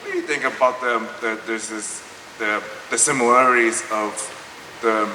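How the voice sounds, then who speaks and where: A man in the audience asking a halting question, searching for his words. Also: mid-range voice, fairly even intonation, sharp tone. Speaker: audience member. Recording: noisy echoey hall, distant mic.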